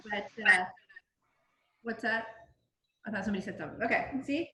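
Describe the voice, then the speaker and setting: A woman's voice: mid-range voice, brisk, sharp tone, a little hiss or hum. Chatty middle-aged woman on a roomy call. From Southern California. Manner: chatty and informal, with many ums and restarts. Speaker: direct-sales cooking consultant. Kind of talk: casual product demonstration over a video call. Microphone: video call. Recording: roomy video-call audio.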